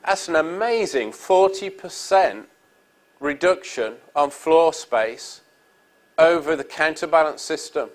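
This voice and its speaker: A man's voice: a little high, fairly bright tone. A middle-aged man with a European accent giving a conference presentation on a hall stage, upbeat and salesy with frequent ums and uhs. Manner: upbeat salesy delivery with many fillers. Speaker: industry speaker.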